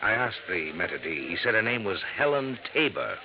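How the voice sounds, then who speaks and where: An actor playing a puzzled, genteel businessman in a 1950s radio drama, polite and measured. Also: lowish voice, very animated. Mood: puzzled, confiding. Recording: muffled, noisy old radio recording.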